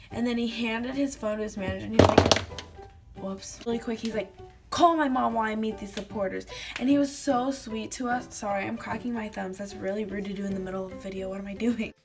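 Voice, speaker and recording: A teenage girl vlogging casually to a webcam in her bedroom, telling stories with lots of fillers and giggles, her voice slightly hoarse from having lost it. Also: mid-range voice. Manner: chatty, giggly storytelling with many fillers. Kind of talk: casual chat to camera. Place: small bedroom indoors.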